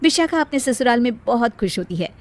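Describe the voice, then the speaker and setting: A woman's voice: a little high, animated, a little fast. A woman reading scripted narration for a cartoon moral story as the storyteller.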